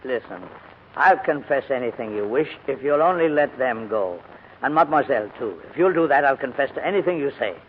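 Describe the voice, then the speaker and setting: An actor playing a stubborn, dignified old English gentleman held prisoner, speaking with restrained earnestness in a 1940s radio drama. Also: medium pitch, expressive, fairly quick, lightly acted. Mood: weary, earnest. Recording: thin, phone-like 1940s radio recording, hiss.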